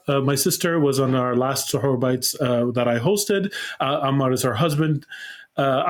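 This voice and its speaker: A man hosting a casual interview, friendly and quick to laugh. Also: fairly high pitch. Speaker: host.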